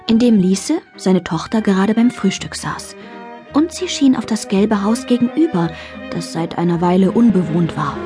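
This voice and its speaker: A woman with a medium-pitched voice tells a children's story as the narrator. She reads scripted narration, with music clearly playing behind her.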